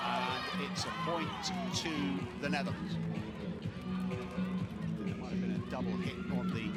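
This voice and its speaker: A man giving measured play-by-play commentary on a volleyball match, rising in excitement during rallies. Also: mid-range voice. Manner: measured, descriptive play-by-play with occasional rises of excitement. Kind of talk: live sports commentary. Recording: broadcast mix with loud crowd and music underneath. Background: arena crowd noise and music.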